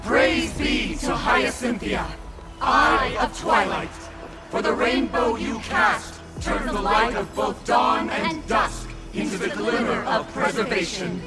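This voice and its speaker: A man's voice: sits high, lively intonation, takes it slowly, long pauses. A man playing a fervent worshipper in a video game, declaiming exalted hymns of praise like a liturgy. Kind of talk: theatrical video game oration. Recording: loud music behind the voice.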